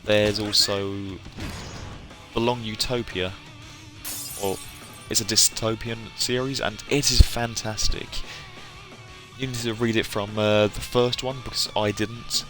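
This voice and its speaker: A man's voice: fairly low pitch, steady tone, unhurried. A British man chatting casually to viewers on a live stream, rambling and hesitant, often trailing off. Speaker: hobby game streamer. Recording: music playing behind the voice. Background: music.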